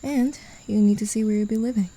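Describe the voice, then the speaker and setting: A woman playing a gravelly, taunting demon. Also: fairly even intonation, brisk, lightly acted. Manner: condescending and teasing, soft whispers and low chuckles. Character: mocking, possessive demon who claims a human as a pet. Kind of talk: ASMR roleplay.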